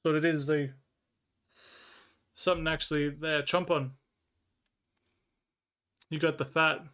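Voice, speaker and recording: A man's voice: fairly high pitch, flat delivery, a little slow, fairly bright tone. An Australian man streaming live, rambling casually as he reads and answers his chat and swears freely.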